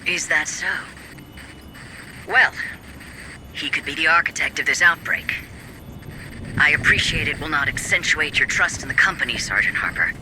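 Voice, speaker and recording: A man playing an evasive, formal corporate director in a video game, measured but anxious to explain. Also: a little high, lively intonation, background noise, lightly acted. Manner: formal, measured, pleading under pressure. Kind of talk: dramatic video game acting.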